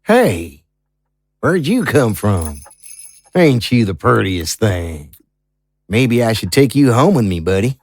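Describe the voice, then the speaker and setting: Man playing a low, world-weary detective. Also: sing-song, takes it slowly, pauses often, darkish tone, no room sound, full character voice. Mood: gentle, fond. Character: world-weary male police detective near retirement. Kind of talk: audio drama acting.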